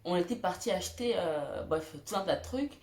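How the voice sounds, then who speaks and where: A young woman telling funny childhood stories to her viewers, self-mocking, giggling and pausing on fillers. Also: on the low side, expressive, a little mellow, echoey room. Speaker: YouTuber. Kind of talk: storytelling vlog.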